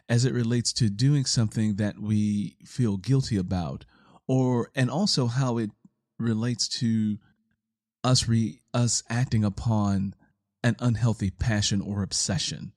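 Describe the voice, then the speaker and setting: A man's voice: on the low side, a little mellow, no echo, studio quality. A man speaking as a podcast host in a conversational commentary style.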